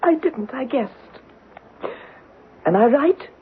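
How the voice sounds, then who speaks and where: A woman playing a wistful, theatrical stage actress haunted by foreboding, in old-time radio drama style with halting, dramatic delivery. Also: sits high, expressive, husky, heavily acted. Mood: guarded, composed. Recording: narrow-band old radio broadcast, faint music.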